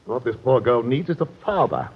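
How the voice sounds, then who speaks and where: Pompous colonial captain, scheming and smug, swooping animated delivery, dull old radio recording. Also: male voice, mid-range voice, brisk, husky. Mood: oily, soothing. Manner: pompous, florid, blustering. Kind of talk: old-time radio drama acting.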